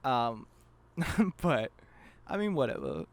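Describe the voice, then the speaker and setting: Animated, giggly young man chatting. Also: medium pitch, takes it slowly, dry recording. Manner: giggly, animated, self-deprecating. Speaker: podcast guest, amateur voice actor. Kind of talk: casual chat.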